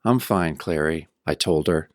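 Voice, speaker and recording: A man's voice: extremely low pitch, unhurried, dry recording, clean studio sound. An actor playing a battered, wry tough-guy hero, narrating his own pulp horror tale in a radio-style audio drama. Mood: determined, wry. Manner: wry, hard-boiled first-person storytelling.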